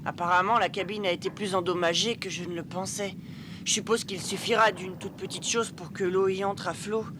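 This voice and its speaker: A woman voicing an eager, clever young boy inventor in an animated dub, talking earnestly and explaining things. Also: medium pitch, brisk, background noise, close to an everyday voice. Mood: reassuring.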